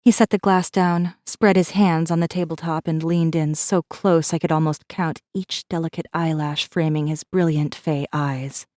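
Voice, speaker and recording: A woman's voice: deep voice, steady tone, moderate pace, hardly any pauses, a little mellow, no room sound, clean studio sound, lightly acted. A woman playing a defiant, bitter captive rebel assassin who narrates her own story in an intense, brooding way. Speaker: audiobook narrator. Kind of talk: first-person dramatic narration.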